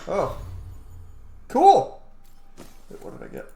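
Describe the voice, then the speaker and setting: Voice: medium pitch, huge pitch swings, takes it slowly, gravelly, background noise. A streamer giving casual, chatty, reactive commentary on a video game, with muttered asides. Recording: roomy echo, game music behind.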